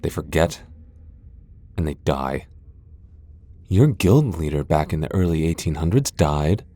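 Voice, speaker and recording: A man's voice: very deep voice, expressive, measured pace, dark tone, no echo, heavily acted. A man playing a haughty, centuries-old vampire lord in a fantasy ASMR roleplay, sardonic, taunting and theatrical. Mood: scornful, taunting.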